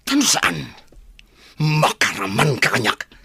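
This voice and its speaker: An arrogant, hot-headed younger man in a radio drama. His voice is hard and forceful with a rough rasp, and it swings wildly as he sneers and taunts. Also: medium pitch, huge pitch swings, slow pace, no room sound, clean studio sound, full character voice. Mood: threatening. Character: arrogant, hot-tempered younger man, defiant toward his old nanny. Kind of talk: radio drama acting in Ilocano.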